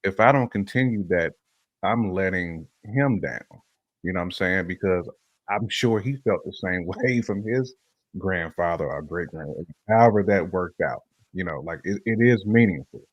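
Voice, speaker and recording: A middle-aged man with a very low voice talks informally as a show panelist. His speech is colloquial and conversational, captured close and dry. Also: expressive, normal speed, no echo, highs cut off. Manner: informal, colloquial, laughs while talking. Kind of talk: casual opinionated chat.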